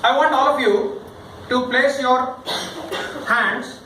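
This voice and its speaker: A man with an Indian accent giving an interactive public speaking training talk, jokey and animated, often checking with his listeners. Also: extremely high pitch, takes it slowly. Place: indoor room in front of a live group. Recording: very echoey room, distant mic, noisy.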